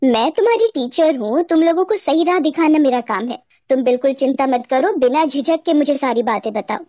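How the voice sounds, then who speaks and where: A woman's voice: sits high, animated, a little fast, mellow, dry recording, clean studio sound. A woman voicing a stern but caring schoolteacher in a cartoon story, firm and lecturing. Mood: reassuring.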